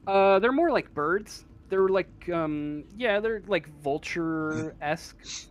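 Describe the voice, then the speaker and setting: A man with a slightly high voice narrates a tabletop role-playing game as game master. He talks slowly and haltingly, often pausing to find the right word as he describes things. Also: lively intonation, a little hiss or hum. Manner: halting, searching for words as they describe.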